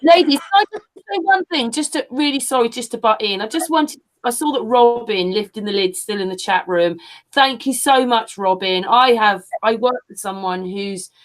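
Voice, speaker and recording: A woman's voice: fairly high pitch, moderate pace, rough recording. A woman hosting a casual online chat, politely butting in with an appreciative way of talking. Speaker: host relaying chat room questions.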